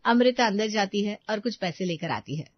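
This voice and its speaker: A woman's voice: mid-range voice, expressive, moderate pace, dry recording, muffled recording. A woman reading scripted narration for a family story.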